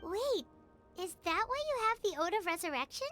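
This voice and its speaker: Squeaky, extremely high little fairy companion. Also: female voice, sing-song, moderate pace, full character voice. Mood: eager, curious. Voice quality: squeaky, bright. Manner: eager, perky questioning. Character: tiny childlike fairy companion, curious and excitable. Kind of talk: video game voice acting. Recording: background music under the voice.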